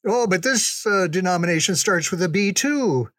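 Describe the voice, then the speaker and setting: An older man reminiscing casually in a folksy, unhurried, conversational way, as a storyteller. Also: sits high, expressive, fairly bright tone, no echo, studio quality. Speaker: storyteller recalling ministry memories.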